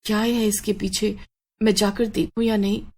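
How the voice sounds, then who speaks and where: A woman's voice: medium pitch, level tone, moderate pace, a little mellow, natural voice. A woman voicing a frightened but brave young wife in a horror story, with an urgent, anxious manner. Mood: fearful, hesitant.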